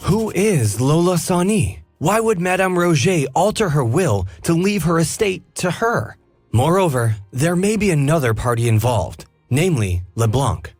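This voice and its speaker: An actor playing a calm, inquisitive detective in a game, reasoning aloud in a measured way. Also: male voice, fairly high pitch, faint music behind. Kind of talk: game cutscene voice acting.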